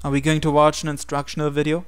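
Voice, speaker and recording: A man's voice: fairly high pitch, monotone, fast talker, clean tone, dry recording. A man reading visual novel narration and dialogue aloud as a gaming video host. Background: game music.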